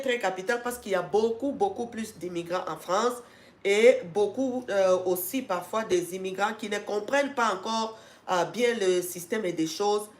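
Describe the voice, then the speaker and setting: A woman with a cold hosting a live stream for her educational channel, talking to viewers with many fillers and restarts and turning emphatic as she urges them on. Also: mid-range voice. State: congested with a cold. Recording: echoey room.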